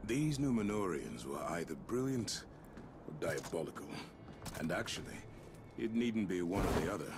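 An actor playing a dry, sardonic soldier-adventurer in a video game, musing aloud with understated delivery. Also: male voice, sits low, big pitch swings, normal speed, noisy background. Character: dry, sardonic male soldier-adventurer examining strange devices.

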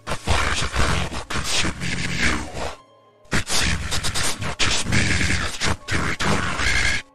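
A man playing a scornful, murderous undead animatronic rabbit with a gravelly, menacing delivery for a horror game reel. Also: deep voice, steady tone, a little slow, over music. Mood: vengeful. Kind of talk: fan-made horror game character reel.

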